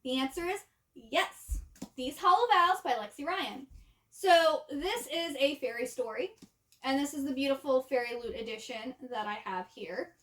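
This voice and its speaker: Female book vlogger, high-pitched, casual conversational delivery, informal and chatty. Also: moderate pace. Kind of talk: casual chat to camera.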